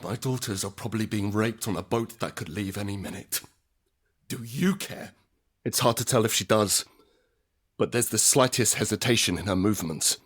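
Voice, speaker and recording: A man's voice: on the low side, moderate pace, a bit bright, husky. A man acting a desperate, anguished middle-aged father in a post-apocalyptic audio drama, narrating in the first person with raw intensity. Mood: bitter, tense. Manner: intense, emotionally raw, sweary. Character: desperate, anguished father surviving an apocalypse, haunted and guilt-ridden.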